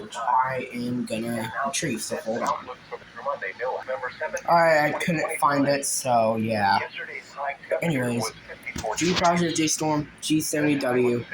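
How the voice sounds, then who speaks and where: A man's voice: mid-range voice, low-quality recording. A man hosting an unscripted, casual show-and-tell, rambling along and often trailing off into vague asides. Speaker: video host showing a personal collection.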